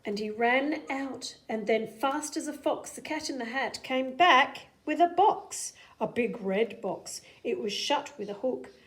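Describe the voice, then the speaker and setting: A woman's voice: sits high, expressive, a little slow, few pauses. A woman narrating a children's story as a storybook reader.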